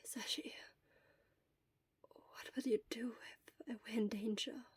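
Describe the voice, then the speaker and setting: A woman voicing a feverish, sullen teenage boy in a weak, hoarse, breathy mutter for an audio story. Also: on the high side, steady tone, unhurried, no room sound. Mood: miserable.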